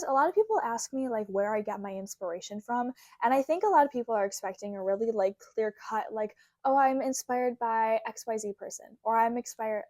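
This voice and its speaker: A woman's voice: a little high, darkish tone, no room sound. A young woman vlogging about her craft in a casual, bubbly, rambling way, her voice a little worn from a recent cold. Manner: bubbly and rambling, heavy use of "like". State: getting over a cold, recovering from a sore throat. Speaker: crochet content creator. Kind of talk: casual chatty vlog. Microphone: small clip-on mic.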